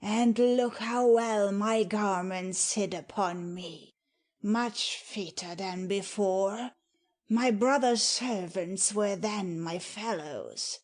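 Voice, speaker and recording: Woman as a very slow, scheming nobleman. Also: mid-range voice, a little mellow, natural voice. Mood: cold scheming. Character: cold, ambitious, scheming nobleman plotting murder. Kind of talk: dramatic reading of Shakespeare.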